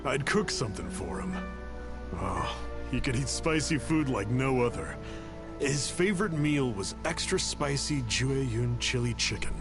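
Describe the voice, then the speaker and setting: An actor voicing a gentle, reflective young man who cooks for a lost friend in a video game. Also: medium pitch. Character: wistful young man, a cook mourning a friend.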